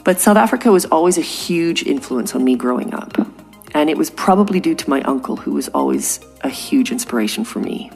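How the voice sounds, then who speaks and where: A woman's voice: deep voice, expressive, a little fast, vocal fry, a little mellow, faint music behind. A Canadian woman in her forties hosting a podcast, telling a personal story in an earnest, reflective way. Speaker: podcast host. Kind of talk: scripted podcast monologue.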